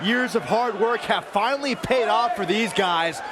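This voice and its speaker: A man playing an upbeat, excitable wrestling commentator in a video game. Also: sits high, unhurried. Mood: hyped. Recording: loud arena crowd noise behind.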